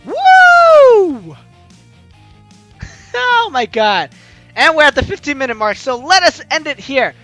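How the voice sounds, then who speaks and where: Man, very high-pitched voice, theatrical story narration, let's play host, animated swings, music behind. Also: takes it slowly, pauses often, dry recording. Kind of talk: reading a visual novel story aloud, dramatic narration. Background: game music.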